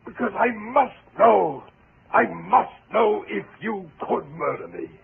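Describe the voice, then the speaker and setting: An actor playing a bitter, obsessed, menacing husband in a theatrical, mock-polite style for a 1940s radio thriller. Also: male voice, fairly high pitch, gravelly. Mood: anguished, desperate. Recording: tinny, hissy 1940s radio recording.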